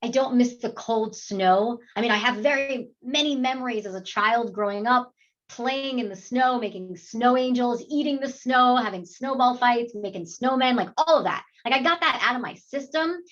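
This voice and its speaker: A woman's voice: on the high side, normal speed. Chatty woman with slightly muffled audio. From New York. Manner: animated, talkative, laughs often, many fillers. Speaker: actress, interview guest. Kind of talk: casual interview chat.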